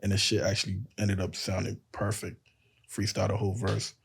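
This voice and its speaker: Deep-voiced guy w a lisp, kinda husky, just chatting n telling stories on a podcast. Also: little pitch movement, a little fast. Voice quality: says he has a lisp. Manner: loose storytelling, acts out quotes, laughs often. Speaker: podcast cohost. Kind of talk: casual podcast chat.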